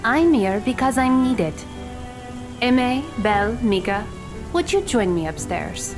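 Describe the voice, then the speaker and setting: A woman playing a brisk, composed, commanding young woman in an audio drama, firm and matter-of-fact. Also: fairly high pitch, animated. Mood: brisk, impatient. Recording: music and rain behind voice.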